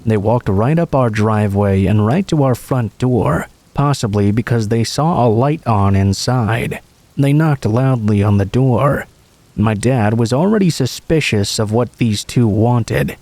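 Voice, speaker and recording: A man narrates scripted first-person horror stories in a measured, storytelling delivery. His voice sits at a middling pitch, and the recording is dry, with hardly any room sound around it. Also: darkish tone, no room sound.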